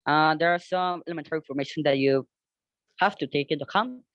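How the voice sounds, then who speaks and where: A young man with a Colombian Spanish accent talks through his class podcast project, his voice sitting slightly high. He stumbles and restarts often, feeling for the right English words. The recording sounds dull and dry, close to the mic. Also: fairly bright tone, clean tone, no room sound. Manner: halting, searches for words, frequent restarts in hesitant English. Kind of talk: unscripted class presentation.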